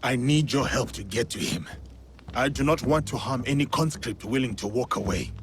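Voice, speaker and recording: An actor playing a stoic, honorable samurai in a video game, speaking in a terse, grave and formal manner. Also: male voice, medium pitch, animated, normal speed, noisy background, lightly acted. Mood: grave, earnest. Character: stoic, honorable male samurai warrior, principled and guarded. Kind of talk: video game cutscene acting.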